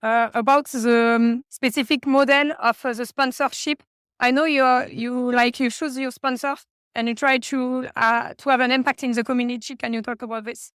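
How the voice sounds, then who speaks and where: A woman with a French accent asks questions hesitantly, pausing often on filler sounds. Her voice sits high, smooth and clear, and the sound is dry and close. She is interviewing at a conference venue. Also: steady tone, a bit bright, no room sound. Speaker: podcast host, interviewer.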